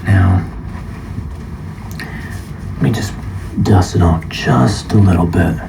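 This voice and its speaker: A soft-spoken man doing an ASMR roleplay as a guitar shop appraiser, calm and measured, at times slipping into a whisper. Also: low voice, creaky, noisy background.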